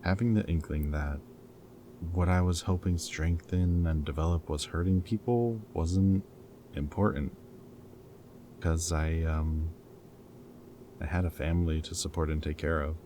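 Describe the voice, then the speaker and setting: A man's voice: low-pitched, fairly even intonation, measured pace, pauses often, warm tone, slight background noise. A man playing a captured rebel scientist in an intimate audio roleplay, caring and guilt-ridden, speaking in a hesitant, casual, earnest way. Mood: reluctant, confessional.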